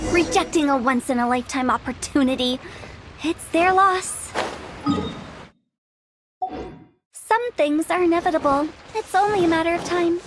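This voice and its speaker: A woman voicing an eccentric, cheerful young female funeral parlor director in a video game, with theatrical, eager sales patter. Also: very high-pitched. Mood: confident. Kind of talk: animated video game character acting.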